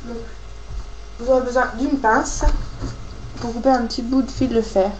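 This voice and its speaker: A woman with a cold gives a casual, halting hands-on craft tutorial, thinking aloud as she works. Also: fairly high pitch, little pitch movement, a little mellow, dull audio. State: has a cold, coughing. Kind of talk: casual hands-on tutorial, talking while working. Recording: clearly noisy homemade recording with clicks.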